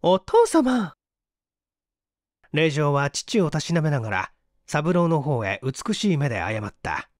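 A man's voice: a little high, expressive, normal speed, no room sound, studio quality. A man narrating a scripted story dramatically as an audiobook reader.

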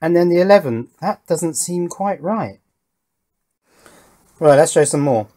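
A man's voice: fairly high pitch, animated, a little slow, mellow. Man thinking aloud at a workbench. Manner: thinking aloud, tentative and musing. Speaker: host of an electronics build channel. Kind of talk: casual hands-on demonstration commentary. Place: indoor workbench.

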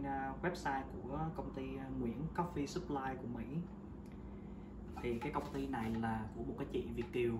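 A man's voice: mid-range voice, far from the mic. Chatty man demonstrating recipe in echoey kitchen. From Vietnam. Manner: relaxed, chatty, frequent hesitation fillers. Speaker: home cooking vlogger. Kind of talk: casual how-to demonstration. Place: home kitchen, indoors. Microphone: camera mic on counter. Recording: roomy kitchen, voice off-mic, loud background noise.

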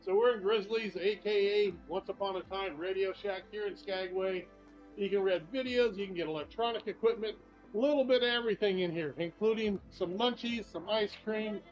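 Older male vlogger, upbeat and chatty walking-tour guide, fairly high voice, camera-mic sound with faint shop music. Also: moderate pace. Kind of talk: casual walking tour narration. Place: shops and streets of a small town, indoors and out. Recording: slightly dull sound, faint music behind.

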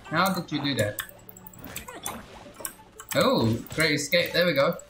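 A game streamer giving casual, excitable live commentary full of muttered repeats and exclamations. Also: medium pitch, slow, roomy sound, music in the background.

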